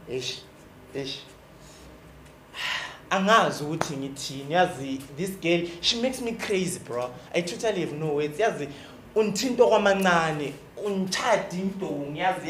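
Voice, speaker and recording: A Southern African man playing a boastful, lovestruck young bus-rank hustler in a stage play monologue, slangy and exclamatory, repeating words for emphasis. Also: fairly high pitch. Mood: lovestruck, fervent. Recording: echoey stage with faint noise.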